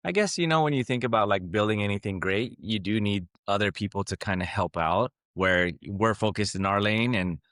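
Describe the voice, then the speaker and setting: A man with a Filipino accent, hosting a podcast interview, reflecting out loud on what his guest says. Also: on the low side, a little fast, few pauses, no room sound, studio quality. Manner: reflective, thinking aloud with fillers. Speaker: podcast host.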